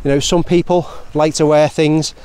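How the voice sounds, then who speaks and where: A British man in his forties giving a casual, measured product review to camera in a conversational way. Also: a little high, little pitch movement, a little mellow, clear, resonant tone. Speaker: outdoor gear reviewer. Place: outdoors in open countryside. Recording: outdoor ambient noise.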